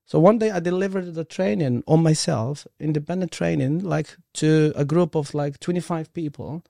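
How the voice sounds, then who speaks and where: Higher-voiced Moroccan man, slightly creaky. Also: moderate pace, mellow, no room sound, clean studio sound. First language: Moroccan Arabic. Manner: earnest, frequent "like" and "you know". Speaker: interview guest, performance coach. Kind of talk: casual personal storytelling in an interview.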